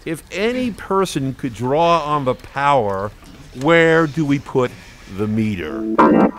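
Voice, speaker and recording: An actor playing an indignant wealthy financier in a radio courtroom drama, speaking with slow, drawn-out emphasis. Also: mid-range voice, sing-song, heavy background noise.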